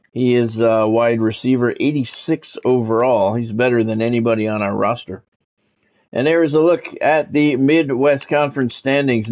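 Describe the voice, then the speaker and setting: A man's voice: medium pitch, a little mellow. A man giving casual, rambling, conversational commentary as he hosts a football video game stream. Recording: dull lo-fi audio with music behind.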